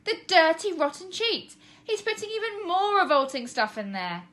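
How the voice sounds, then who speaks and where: A woman acts out an excitable young girl from a children's storybook. Her pitch sits very high and she exclaims everything emphatically, impatient and quick to take offence. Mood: outraged.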